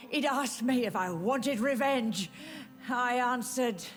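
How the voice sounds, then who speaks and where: A woman's voice: a little high, faint music behind. An actress voicing a bitter, sickly undead woman in a video game, taunting and savoring each hateful, cryptic word. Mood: vengeful. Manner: slow, taunting, menacing, savoring words. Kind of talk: video game dramatic acting.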